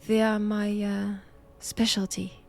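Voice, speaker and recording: A woman playing a solemn, assured Vatican nun who hunts knowledge of vampires, with a French accent and a hushed, deliberate delivery in an intimate audio roleplay. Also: mid-range voice, flat delivery, gravelly, faint music behind. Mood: calm, assured.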